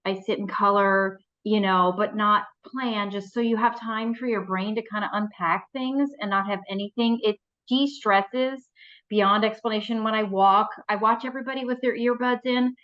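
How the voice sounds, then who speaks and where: A woman's voice: mid-range voice, fairly even intonation, moderate pace, fairly bright tone. An American woman from New Jersey sharing casually on an online call with dull, lo-fi sound. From New Jersey, United States. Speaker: community member sharing.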